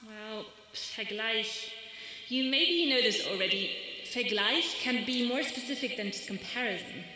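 A woman's voice: a little high, expressive, a little slow, pushed and bright. A woman talking as a poet in a thoughtful literary conversation, recorded in an echoey, reverberant hall.

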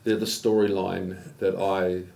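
Deep-voiced New man, measured. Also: level tone, creaky, warm tone. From New Zealand. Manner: measured, explaining step by step with restarts. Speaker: guest. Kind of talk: reflective interview answer.

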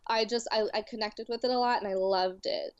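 A woman's voice: mid-range voice, steady tone, fairly quick, smooth, dry recording, highs cut off. A woman in her twenties from Louisiana, a cosplayer chatting casually as a guest and filling her talk with "like". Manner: chatty, heavy with "like" fillers. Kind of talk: casual chat.

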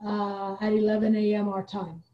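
A woman's voice: mid-range voice, little pitch movement, moderate pace. A woman from Iran speaking casually as a podcast guest, on a dull, roomy recording. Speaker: guest, playwright and theatre director. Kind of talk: casual interview chat.

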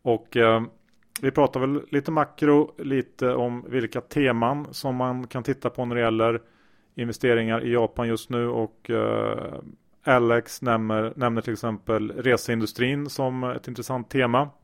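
A man hosts a podcast in a relaxed, casual way, with frequent filler sounds. His voice sits in a middle pitch. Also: moderate pace, gravelly. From Sweden. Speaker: podcast host.

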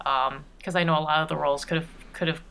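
A woman's voice: low-pitched, steady tone, fast talker, a bit bright, a little hiss or hum. A woman chatting casually and playfully as a guest in an interview. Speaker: podcast regular and voice performer being interviewed.

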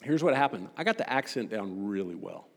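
A man delivering a sermon to a congregation as a preacher, emphatic and rhetorical, building points through repetition and pointed pauses. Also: lowish voice, big pitch swings, vocal fry. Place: large reverberant hall. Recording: long hall reverb.

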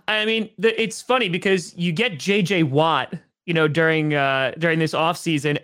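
A young man with a high voice hosts a sports podcast, chatting loosely and excitedly with plenty of "like" and "uh." He sounds casual and amped. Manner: excited, loose, lots of fillers. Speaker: podcast host.

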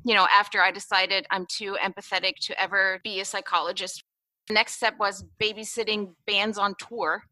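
A woman's voice: mid-range voice, level tone, moderate pace, sharp tone, no echo, rough recording. A woman in her thirties who grew up in Germany, telling her story in a rambling, chatty way with many fillers and laughs. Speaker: guest. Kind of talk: casual conversational interview.

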